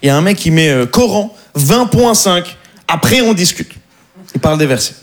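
A man performs stand-up comedy in a club, his voice slightly high. He tells his jokes with animated energy and slows right down when he lands a punchline.

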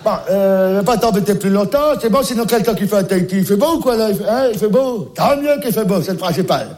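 A man voices a forgetful grandpa phoning his daughter about the grandson he is minding, with a high voice. He rambles, loses his thread and repeats the same questions over and over, unbothered. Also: animated, fast talker, darkish tone. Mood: bumbling. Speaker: comic sketch character. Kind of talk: comic one-sided phone call sketch.

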